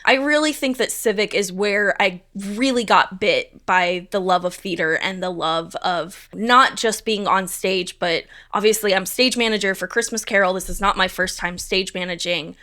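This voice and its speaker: A woman's voice: mid-range voice, normal speed, few pauses, sharp tone. A young adult woman, a stage manager, chatting casually and upbeat in an interview, with many fillers.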